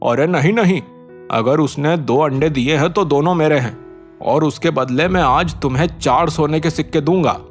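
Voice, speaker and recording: A man's voice: fairly high pitch, moderate pace, soft background music, close to an everyday voice. A man voicing a greedy, insistent village merchant in a cartoon, talking in a pushy, familiar way.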